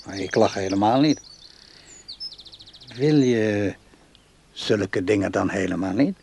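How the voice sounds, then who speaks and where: A man's voice: fairly low pitch, takes it slowly, creaky, a little mellow, a little hiss or hum. An actor in a radio play playing a simple, doting, earnest man who is easily worked up and insistent.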